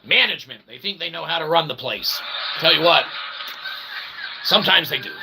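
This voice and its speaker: A man's voice: a little high, lively intonation, normal speed, creaky, heavy background noise. A man hosting a rambling comedic livestream in a mock-pompous manner, using grandiose made-up words and repeated catchphrases. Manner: repeats stock catchphrases and tags like "okay?". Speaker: streamer and channel host.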